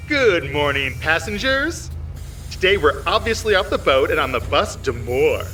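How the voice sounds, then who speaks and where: A man's voice: on the high side, sing-song, moderate pace, sharp tone. A man playing a chipper, hapless bus tour guide in an audio drama comedy, announcing with sing-song showmanship. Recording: clear vehicle and outdoor noise.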